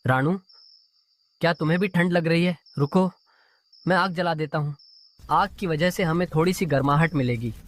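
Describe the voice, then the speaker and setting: A woman voicing a gentle, caring bird in a cartoon, with an earnest manner. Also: low-pitched, moderate pace, pauses often, natural voice. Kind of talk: animated cartoon voice acting.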